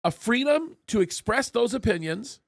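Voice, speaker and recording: High-voiced man hosting, emphatic. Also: lively intonation, moderate pace, gravelly, dry recording, studio quality. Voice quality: high-pitched voice. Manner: emphatic, repeats words when starting a point. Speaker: pastor and show host. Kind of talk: live political talk show discussion.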